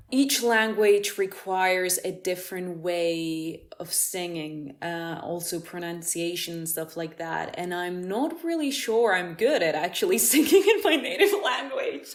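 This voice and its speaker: A young woman from Cyprus, a musician chatting in an interview, laughing often and restarting her sentences. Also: medium pitch. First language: Greek. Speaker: musician guest. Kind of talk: casual interview chat.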